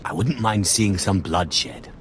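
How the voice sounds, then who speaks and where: An actor playing an arrogant, menacing dark-side warrior in a video game, curt and contemptuous. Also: male voice, low voice, slow, slight background noise. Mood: bloodthirsty. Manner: curt, haughty. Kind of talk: video game cutscene acting.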